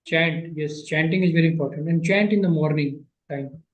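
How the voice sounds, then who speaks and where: A man teaching Sanskrit grammar in an online class, patient and repeating phrases slowly, his English not his first language. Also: fairly high pitch, roomy sound, rough recording.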